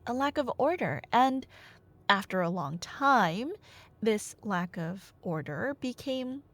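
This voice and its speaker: A woman with a fairly high voice that swings widely in pitch as she tells a story in a casual roleplay style. She takes her time, pausing for drama, and rambles with playful, nerdy asides. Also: a bit bright. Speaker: ASMR roleplay audio performer playing a talkative girlfriend.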